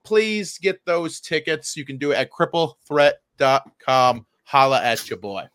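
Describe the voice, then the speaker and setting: A man who works as a comedian, co-hosting a podcast with casual, crude joking and a stammering, sometimes loud delivery. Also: fairly high pitch, measured pace, no echo, clean studio sound. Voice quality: loud, given to yelling. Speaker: stand-up comedian.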